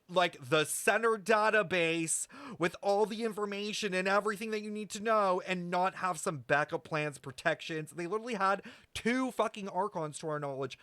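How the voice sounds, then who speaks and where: A man streaming casual game commentary, talking to chat in an animated, slangy, sweary way. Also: high-pitched, no echo. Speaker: streamer reacting to a video game. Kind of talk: casual livestream commentary and lore speculation.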